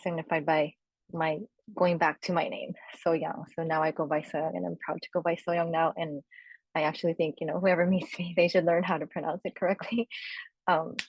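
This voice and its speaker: A young woman from Korea, a panelist sharing personal reflections with many fillers and soft laughs. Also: low-pitched, flat delivery, moderate pace, husky, no echo, low-quality recording.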